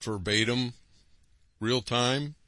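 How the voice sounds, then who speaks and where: A man's voice: medium pitch, slow, pushed and bright, no echo. A man hosting a religious broadcast, preaching emphatically with rhetorical questions and frequent asides. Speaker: radio broadcast host.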